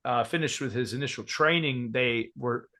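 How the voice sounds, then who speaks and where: A man's voice: mid-range voice, normal speed, sharp tone. A man in his thirties from Georgia in the United States, a musician talking loosely in an interview, with many fillers and restarts. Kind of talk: casual interview chat.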